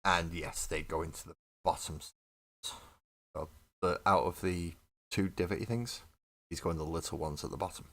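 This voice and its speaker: Guy with a deep voice rambling to his stream while building minis, coughing a bit. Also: normal speed, long pauses, fairly bright tone. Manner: chatty, laughs often, talks to viewers in chat. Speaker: mini painting livestream host. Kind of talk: casual chat while building models.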